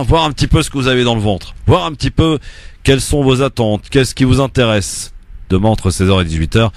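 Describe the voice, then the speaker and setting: Male radio call-in host, somewhat deep, easygoing and chatty, studio mic. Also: dry recording. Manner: relaxed, chatty, many fillers. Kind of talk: radio call-in show hosting.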